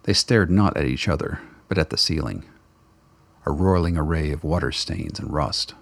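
A male narrator reads a radio drama script. His voice is extremely deep and gravelly, with a husky grain. The delivery is dramatic and scripted, recorded close and dry. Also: moderate pace, no room sound.

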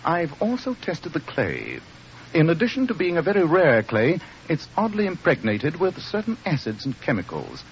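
An actor playing an assured, precise gentleman detective in a radio drama. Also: on the high side, wildly swooping pitch. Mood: assured, analytical. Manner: crisp, deliberate, deductive. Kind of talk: old radio drama acting. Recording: muffled old radio recording, faint noise.

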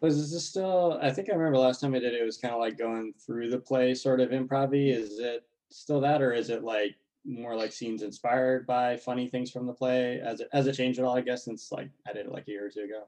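A guest performer speaks in a casual, conversational style. The voice sits at a medium pitch. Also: steady tone, brisk, hardly any pauses, mellow, rough recording. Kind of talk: casual chat.

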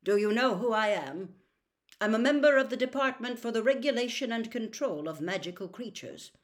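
A woman voicing a stern, accusing male wizard official in an audiobook, with a gruff, officious manner. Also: mid-range voice, expressive, moderate pace, close to an everyday voice. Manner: barking, officious.

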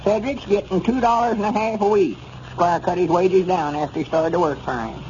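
Casting: male actor as worried rustic Southern old-timer, high voice, 1930s radio comedy, faint hiss. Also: fairly quick, darkish tone, close to an everyday voice. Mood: rueful. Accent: rural Southern US. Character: worried, folksy old country grandpappy. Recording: muffled, noisy 1930s radio recording.

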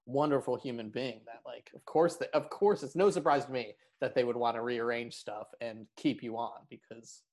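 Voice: medium pitch, moderate pace, hardly any pauses, a little mellow. An interviewer asking questions in casual chat, praising the guest with many fillers.

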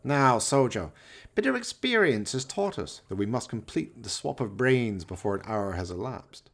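A man playing an evil, excitable mad scientist in a radio play, pompous, theatrical and fawning toward his master. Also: medium pitch, normal speed, gravelly. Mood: commanding, grave. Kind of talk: radio play villain acting.

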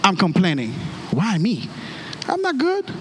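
A man with a Haitian accent, a native Creole and French speaker, preaching a storytelling sermon in an animated way and acting out dialogue with exclamations. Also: high voice, a little slow, slight background noise.

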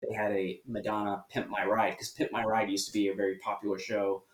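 A man from the US, a podcast guest talking about music in a measured, thoughtful way with some fillers. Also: on the low side, level tone. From United States. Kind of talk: casual music discussion. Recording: echoey room.

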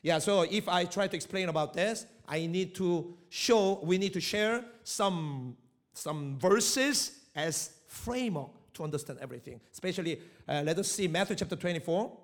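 A middle-aged man speaking English with a Korean accent, preaching in a fairly high voice. He talks haltingly, restarting phrases and pushing up on key words. Korean is his first language, and he works as a missionary pastor. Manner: halting non-native English with restarts, rising emphasis. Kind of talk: sermon.

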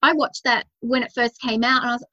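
A woman's voice: on the high side, steady tone, a little fast, bright, forceful tone. A woman podcast host, chatting in an effusive, enthusiastic way. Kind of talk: conversational interview. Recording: slightly dull audio.

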